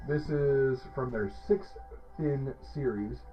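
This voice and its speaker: A man of middle pitch gives an upbeat, rambling hot sauce review, piling up fillers and restarts. The sound is dull and lo-fi, with faint music behind him. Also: takes it slowly. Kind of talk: casual product review.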